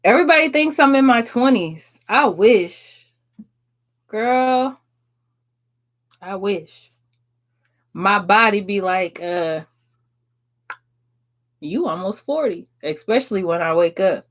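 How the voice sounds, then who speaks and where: A woman's voice: mid-range voice, expressive, slow, pauses often. A woman, chatting frankly and casually on a live stream, swearing and laughing often. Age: 30s. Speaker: livestream host answering viewers.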